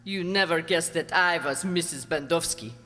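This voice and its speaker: A woman's voice: normal speed, pushed and bright. Woman acting a proud, cunning woman, echoing hall. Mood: cool mocking. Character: proud veiled former actress, devoted, cunning and bitter. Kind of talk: amateur radio drama acting. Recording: boomy hall reverb.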